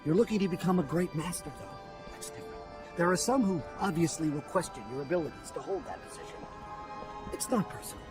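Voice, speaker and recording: An actor playing an earnest, measured scholarly mentor in a sci-fi video game. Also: male voice, on the high side, music in the background, close to an everyday voice. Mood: reassuring, advising. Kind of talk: dramatic video game acting.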